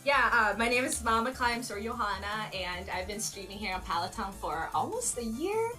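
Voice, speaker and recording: A woman who streams and is commenting for the first time, in a bubbly, animated way. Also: mid-range voice, moderate pace, faint music behind. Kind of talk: casual live-stream commentary.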